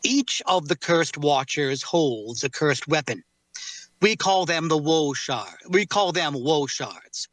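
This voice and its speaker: A man playing a formal, guarded cursed warrior in an audio drama table read, speaking courteously in measured, deliberate phrases. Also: a little high, lively intonation, a bit bright, dry recording, poor audio. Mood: grave, explanatory.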